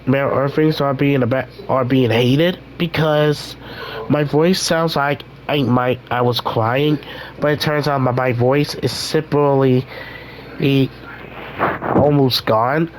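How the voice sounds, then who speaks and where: A young man hosting a casual gaming vlog, rambling with many restarts while his voice recovers from being hoarse. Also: mid-range voice, fairly even intonation, takes it slowly, a little hiss or hum, low-quality recording. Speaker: gaming channel host.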